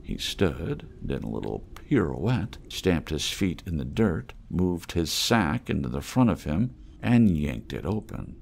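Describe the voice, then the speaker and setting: Low, creaky, expressive male narrator. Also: measured pace, hardly any pauses. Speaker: audiobook narrator. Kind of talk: scripted narration of fiction.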